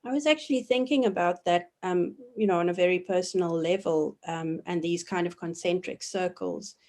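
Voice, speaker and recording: A woman in her forties from South Africa, a professor giving measured, reflective answers in an interview, often pausing with ums and restarting her sentences. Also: lowish voice, darkish tone. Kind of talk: thoughtful academic interview answers.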